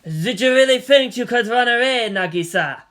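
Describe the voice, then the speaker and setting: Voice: mid-range voice, lively intonation, a bit bright, pitched above the performer's own voice, close to an everyday voice. A performer voicing a cruel, gloating teenage boy villain who sneers and taunts, drunk on power, in a story reading. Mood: sneering. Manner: sneering, taunting, drawn-out menace.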